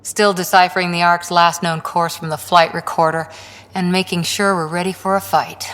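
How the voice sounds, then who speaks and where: Woman acting a lowish-voiced, earnest commando. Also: flat delivery, normal speed, fairly bright tone, lightly acted. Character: confident, earnest female commando soldier. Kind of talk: video game dialogue acting.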